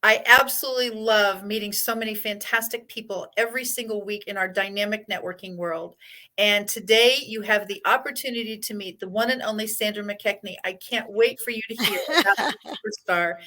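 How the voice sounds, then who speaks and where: A woman in the host's chair who speaks with effusive enthusiasm and heaps praise on her guest. Her voice is bright and forceful, sitting in a middle pitch range. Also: fairly even intonation, fairly quick. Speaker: podcast host. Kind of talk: enthusiastic interview hosting.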